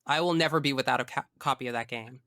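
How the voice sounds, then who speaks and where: A man's voice: mid-range voice, fast talker, pushed and bright, dry recording, studio quality. A man speaking as a podcast guest, chatting casually and effusively about video games with emphatic phrasing.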